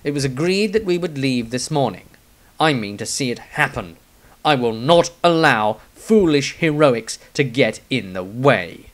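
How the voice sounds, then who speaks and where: A man voicing a stern, proud fighter reading his diary in a fantasy audiobook, solemn and measured. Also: mid-range voice, animated, lightly acted. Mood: resolute.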